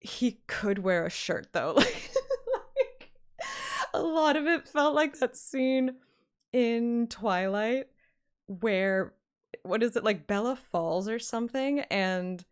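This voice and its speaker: A woman's voice: on the high side, expressive, slow pace, pauses often, clear, resonant tone. A woman livestreamer chatting casually and playfully, often giggly and interrupting herself as she talks to viewers. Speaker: live streamer and fan reader.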